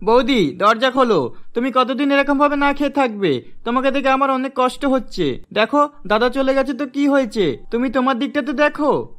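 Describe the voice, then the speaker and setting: A man voicing a caring young brother-in-law in a cartoon, gently coaxing. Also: very high voice, expressive, normal speed, soft background music.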